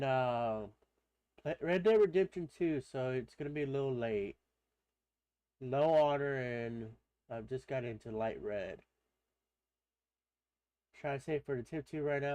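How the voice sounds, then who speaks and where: A man's voice: medium pitch, clean tone, dry recording. A man with a stuffed-up nose, streaming a game live and chatting casually, greeting viewers by name and rambling with many fillers. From Texas.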